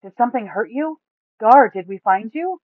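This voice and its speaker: A woman voicing an eager, caring adventurer who speaks earnestly and excitably. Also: on the high side, moderate pace, no echo, phone-quality sound, voice pushed up, natural voice. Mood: earnest, concerned.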